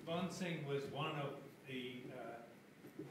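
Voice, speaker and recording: A man's voice: mid-range voice, takes it slowly. A man in the audience giving an impromptu answer, picked up from far off the microphone. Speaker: audience member answering a question. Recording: distant, roomy, noisy off-mic pickup.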